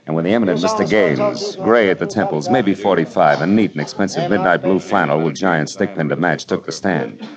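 An actor playing a wisecracking, hard-boiled private detective who narrates with a dry, wry delivery in a 1940s radio drama. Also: male voice, low voice, fairly quick, crowd noise, close to an everyday voice. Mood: cool. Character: wisecracking tough male private eye, middle-aged. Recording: dull old radio broadcast recording.

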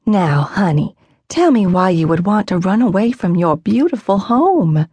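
A woman voicing a kind, gentle motherly woman who speaks soothingly. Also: mid-range voice, sing-song, measured pace, slightly rough, no room sound.

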